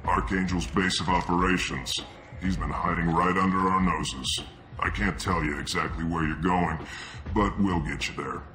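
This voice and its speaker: An actor playing a blunt, jaded mercenary who briefs freelance fighters in a video game. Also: male voice, low-pitched, fairly even intonation, normal speed, noisy background, close to an everyday voice. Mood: matter-of-fact. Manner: blunt, matter-of-fact. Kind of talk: video game cutscene acting. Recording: echoey hall reverb under loud music.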